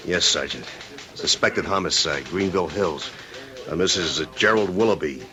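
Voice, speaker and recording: An actor playing a blunt, matter-of-fact police detective in an old-time radio drama, clipped and deadpan. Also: male voice, sits low, moderate pace.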